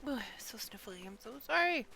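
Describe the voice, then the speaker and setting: A woman streaming a game live, narrating quest dialogue in a measured way and adding casual asides. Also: a little high, sing-song, vocal fry, very noisy.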